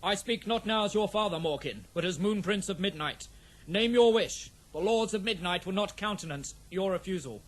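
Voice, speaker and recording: A man's voice: high-pitched, normal speed. A man playing a proud, noble warrior prince and loving father in a fantasy audio drama, with stately, declamatory speech. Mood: insistent.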